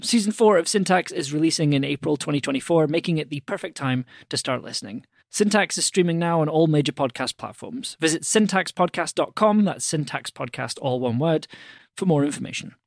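A man hosting a podcast, reading a scripted promotional shout-out in a conversational, enthusiastic way. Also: on the high side, lively intonation, a little fast, creaky, no room sound, clean studio sound. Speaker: podcast host.

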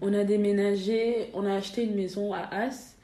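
A woman's voice: medium pitch, fairly even intonation, moderate pace, mellow, echoey room. A young woman from Belgium, a podcast guest talking casually, hesitating with many fillers and restarts. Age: young adult. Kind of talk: casual interview.

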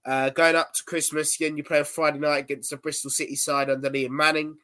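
A young man chatting about football on a podcast, his voice sitting a little high. He rambles, restarting and repeating words as he goes. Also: flat delivery, fast, pushed and bright. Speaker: podcast co-host. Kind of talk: casual football podcast chat.

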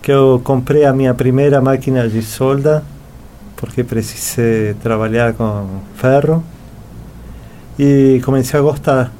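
A man's voice: mid-range voice, fairly even intonation, measured pace, dark tone, slight background noise. A middle-aged man from Argentina, a native Spanish speaker with an Argentine accent, answering as an artist in a conversational interview. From Buenos Aires, Argentina. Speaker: interview guest, artist and sculptor.

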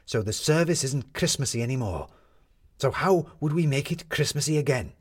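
Male reader as bossy woman reporter, storybook dialogue, husky, lively pitch swings, emphatic, challenging. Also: normal speed. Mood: rallying. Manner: emphatic, challenging, rhetorical questioning. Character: brisk, determined young woman reporter, bossy and sharp.

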